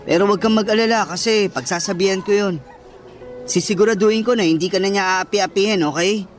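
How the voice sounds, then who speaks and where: A man's voice: high voice, fairly even intonation, quick pace, faint music behind, close to an everyday voice. Man acting a earnest, protective young boyfriend. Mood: apologetic, reassuring. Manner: earnest, reassuring. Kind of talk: Filipino radio drama acting.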